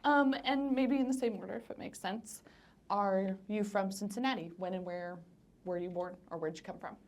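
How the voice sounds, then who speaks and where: A woman asking questions in a casual interview, informal and a little halting as she phrases them. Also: medium pitch. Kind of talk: casual oral history interview.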